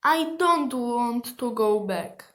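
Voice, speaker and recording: Schoolgirl playing a scared little orphan boy, high voice, slow lines, radio play. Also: animated, fairly bright tone. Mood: despairing. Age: child or teenager. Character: frightened orphan boy runaway.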